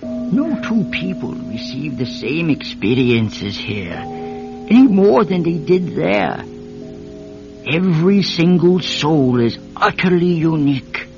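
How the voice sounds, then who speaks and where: An actor playing a serene, knowing guide to the afterlife in a radio drama, speaking gently and with measured calm. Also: male voice, on the high side, gravelly, over music, heavily acted. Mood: serene, amused. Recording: dull lo-fi radio recording.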